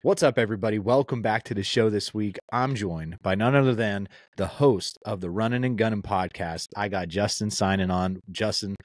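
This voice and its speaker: A man's voice: fairly low pitch, moderate pace, hardly any pauses, no room sound, clean studio sound. A young man hosting a podcast, chatting casually and rambling in a friendly way, often calling his guest "man" and "dude". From Pennsylvania. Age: young adult. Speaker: podcast host.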